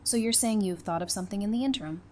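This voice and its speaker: A woman's voice: mid-range voice, rapid-fire, warm tone, slight background noise, close to an everyday voice. A woman playing an affectionate, playful newlywed in an audio drama, speaking in a casual, teasing way. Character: affectionate, playful newlywed bride, practical and bright-minded.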